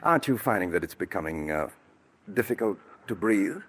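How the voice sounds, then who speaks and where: An actor playing a scheming male poisoner in a 1940s radio drama, with a husky voice and a silky, menacing way of talking full of hesitant fillers. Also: low-pitched, animated, a little fast, a bit bright, exaggerated voice. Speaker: villain, Roger. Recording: old 1940s radio broadcast recording.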